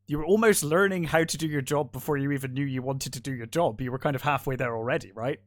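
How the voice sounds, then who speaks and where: A man's voice: medium pitch, fast talker, no echo. A man hosting a podcast, interviewing in a casual, conversational and thoughtful way, often rephrasing as he goes.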